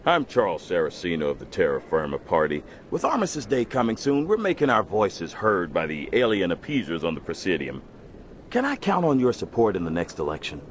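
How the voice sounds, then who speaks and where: Male zealot politician, animated, lowish, polished speechmaker. Sci-fi game. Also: fairly quick, a little hiss or hum. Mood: fervent. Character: zealous male human nationalist politician on the campaign. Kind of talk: dramatic video game acting.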